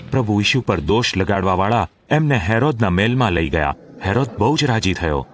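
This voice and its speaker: A man's voice: on the low side, expressive, a little fast. A man narrating a scripted, dramatic Bible story as the narrator of an audio drama. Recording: music behind the narration.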